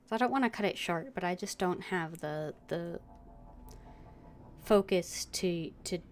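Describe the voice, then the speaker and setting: Slow, low-voiced woman streaming games. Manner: halting, with many fillers and restarts. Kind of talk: casual gaming stream commentary.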